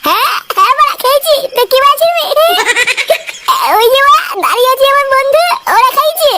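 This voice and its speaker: A performer with a pitched-up voice playing a foul-mouthed, aggrieved, short-tempered village lad in a Bengali comedy cartoon. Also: expressive, normal speed, very noisy. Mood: drunken defiance. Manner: ranting, swearing, aggrieved.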